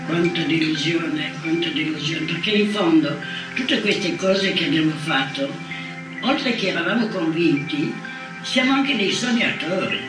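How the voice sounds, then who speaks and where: A man's voice: fairly high pitch, a little slow. An older man reminiscing reflectively, repeating his phrases for emphasis. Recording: distant roomy recording with music. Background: music.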